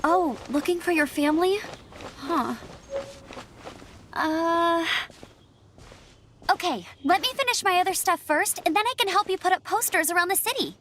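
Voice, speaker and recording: A woman voicing an earnest, upbeat young female knight scout in an animated video game, slightly formal and eager to help. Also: extremely high pitch, normal speed, pauses often, a little hiss or hum. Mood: friendly, helpful. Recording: music playing under the voice.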